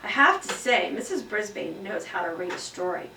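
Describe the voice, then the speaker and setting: A woman voicing a small, earnest pet hamster who tells his classroom story with expressive, emphatic delivery. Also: medium pitch, moderate pace, vocal fry. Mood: excited, spooked. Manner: expressive, exclaiming, emphatic read-aloud delivery. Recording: roomy echo, distant mic, faint noise.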